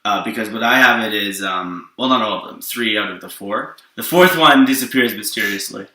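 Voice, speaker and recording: A man's voice: lowish voice, brisk, pushed and bright. A man rambling through a casual, improvised story explanation, often checking that his listener follows. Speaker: storyteller presenting his own superhero story. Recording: roomy echo, distant from the mic.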